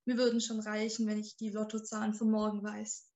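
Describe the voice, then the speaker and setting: A woman's voice: mid-range voice, monotone, fairly quick, darkish tone, roomy sound. A 21-year-old woman, a student co-hosting a podcast, telling stories in a chatty, casual way. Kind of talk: casual chat.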